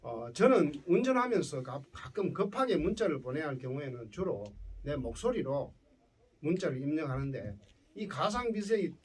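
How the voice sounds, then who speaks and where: A man's voice: on the high side, big pitch swings, normal speed, slightly rough, distant mic. A man with a Gyeongsang accent giving a lecture to school students as a professor, often checking in with his listeners. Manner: checks in with listeners using tag questions. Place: indoor lecture before students.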